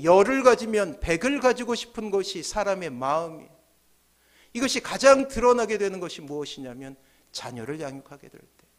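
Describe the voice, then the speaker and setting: High-pitched male preacher, emphatic delivery. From South Korea. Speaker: pastor. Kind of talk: sermon. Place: church sanctuary, at the pulpit. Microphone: gooseneck podium microphones.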